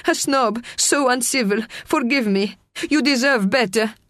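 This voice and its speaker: A woman's voice: fairly high pitch, measured pace, a bit bright, no echo, studio quality, pitched above the performer's own voice. A woman doing a sobbing young boy. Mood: remorseful. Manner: sobbing, apologetic.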